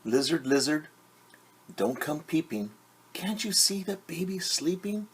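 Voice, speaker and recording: A man reading a picture book aloud to young children as a teacher, with sing-song refrains and hushed, whispered asides. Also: fairly high pitch, lively intonation, measured pace, breathy edge.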